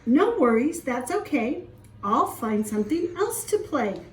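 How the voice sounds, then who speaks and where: Woman as a high-voiced, eager little boy kitten. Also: very animated, unhurried, reverberant, recorded from a distance, a little hiss or hum. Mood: easygoing. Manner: bright, eager, childlike. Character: cheerful easygoing young male kitten.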